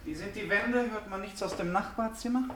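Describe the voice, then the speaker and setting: An actor in a German radio drama playing a nervous, eccentric hotel guest of about thirty, abrupt and effusively polite. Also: male voice, sits high, brisk, background noise, close to an everyday voice. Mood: restless, curious.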